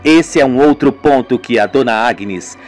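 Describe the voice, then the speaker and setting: A man's voice: medium pitch, a little slow, faint music behind. A man narrating a radio soap in a storytelling way, speaking straight to his listeners.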